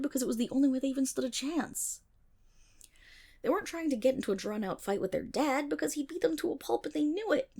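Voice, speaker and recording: A woman's voice: fairly high pitch, vocal fry, darkish tone, full character voice. A woman playing a chatty, irreverent nerdy best friend, rambling wryly and sarcastically in a casual ASMR storytelling roleplay. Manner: rambling, wry, sarcastic, theatrical asides.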